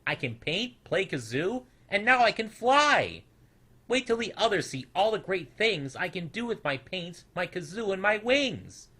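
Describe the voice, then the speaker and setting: A man's voice: high-pitched, sing-song, unhurried, sharp tone, voice pushed up, full character voice. A man voicing a small, young, naive storybook creature, earnest and easily wounded, with a childlike manner. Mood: eager, excited.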